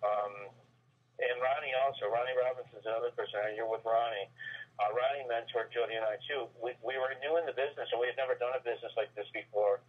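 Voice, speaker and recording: A man's voice: mid-range voice, steady tone, quick pace. A man giving an earnest, folksy motivational sales talk over a conference call, often repeating phrases and listing items. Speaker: network marketing business owner, guest speaker on a team call. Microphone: telephone. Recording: very muffled, tinny telephone conference line.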